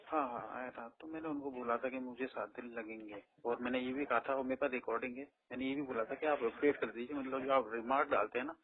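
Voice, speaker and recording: A man talks on the phone as a borrower, in a medium-pitched voice. He is persistent and questioning while keeping a polite form of address. The recording is thin and band-limited, like a saved phone call. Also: steady tone, fast talker, hardly any pauses, a crowd in the background. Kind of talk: phone conversation. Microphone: phone line.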